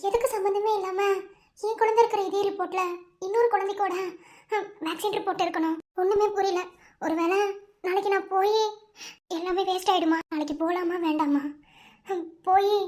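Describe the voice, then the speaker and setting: A woman's voice: very high voice, brisk, a little mellow. A woman playing an anguished young mother in a family melodrama, tearful, plaintive and full of questioning doubt. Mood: troubled, puzzled. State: crying. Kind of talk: melodramatic family serial acting.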